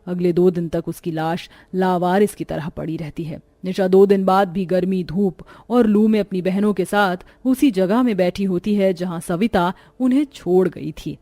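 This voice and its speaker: A woman's voice: lowish voice, fairly even intonation, moderate pace, hardly any pauses, dark tone. A woman giving scripted narration for a cartoon story.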